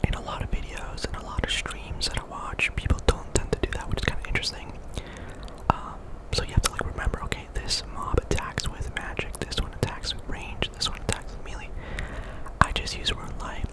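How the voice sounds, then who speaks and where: A man's voice: brisk, low-quality recording. A man speaks entirely in a whisper, giving ASMR commentary over gameplay. He rambles casually and conversationally, as if chatting to viewers while he plays. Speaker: video game streamer and host.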